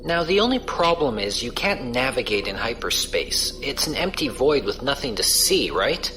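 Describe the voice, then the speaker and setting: A man's voice: mid-range voice, big pitch swings, moderate pace, over music, lightly acted. A man playing a casual, wry space pirate crewman who explains things colloquially in a science fiction audio drama. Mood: casual, explanatory. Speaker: voice actor.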